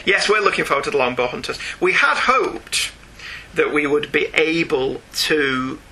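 A man's voice: on the high side, lively intonation, normal speed, pushed and bright, low-quality recording. A chatty, enthusiastic man co-hosting a podcast, talking casually and reading out listener emails. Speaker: podcast co-host. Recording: faint background noise.

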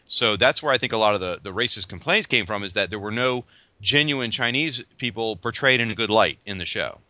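A man's voice: on the low side, animated, fairly quick, sharp tone, no room sound. A man hosting a casual podcast panel chat, quizzing his guests with enthusiasm. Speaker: podcast host. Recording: dull lo-fi dry audio.

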